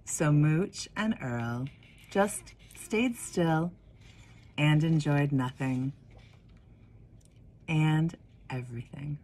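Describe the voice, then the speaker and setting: A woman in her forties reading a children's story expressively, with deliberate pauses and emphasis. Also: low voice, dark tone. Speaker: minister of religious education reading a picture book.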